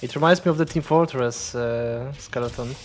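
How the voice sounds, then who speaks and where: A man's voice: medium pitch, normal speed, a little hiss or hum. A man chatting casually as a co-player on a game stream. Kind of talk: casual gaming chat.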